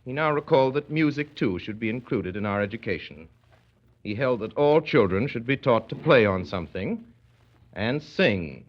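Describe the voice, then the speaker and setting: An actor playing a grown son wryly recalling his father in measured, precise 1930s radio narration. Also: mid-range voice, lively intonation, natural voice. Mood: wry, reminiscing. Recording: muffled vintage 1930s radio recording.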